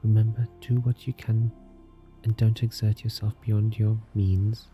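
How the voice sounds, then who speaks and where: A man leads a guided meditation in a soft, soothing, scripted narration, calm and gentle, leaving long unhurried pauses. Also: lowish voice. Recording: music behind the voice. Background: soft music.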